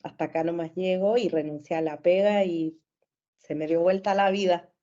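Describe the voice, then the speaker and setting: A Chilean woman in her forties, a therapist talking casually as a guest, cheerful and quick to laugh, with frequent fillers. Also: lowish voice, fairly even intonation, mellow, muffled recording. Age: 40s. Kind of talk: casual conversation. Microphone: video call.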